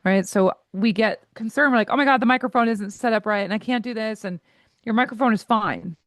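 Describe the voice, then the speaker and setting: A woman in her forties, a voice coach and guest in an interview, who talks candidly in quick asides and laughs easily. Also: fairly high pitch, dry recording, clean studio sound.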